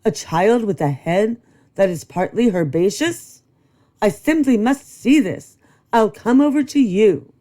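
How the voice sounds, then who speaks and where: A woman voicing an excited male doctor in a read-aloud storybook, exclaiming as he speaks. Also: mid-range voice, measured pace, pitched above the performer's own voice. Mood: amazed.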